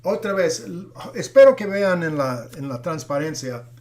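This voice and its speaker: A middle-aged man, a pastor who is not a native Spanish speaker, teaching a Bible class with many pauses, fillers and restarts. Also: fairly high pitch, animated, darkish tone.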